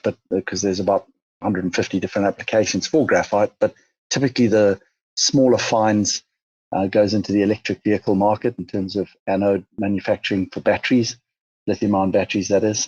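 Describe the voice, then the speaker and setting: A man with a Zimbabwean accent talks through a business interview in a low, husky voice. He sounds measured and pauses often on fillers. The recording is dull and dry. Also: a little mellow, dry recording. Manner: measured, many fillers and restarts. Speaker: mining company chief executive.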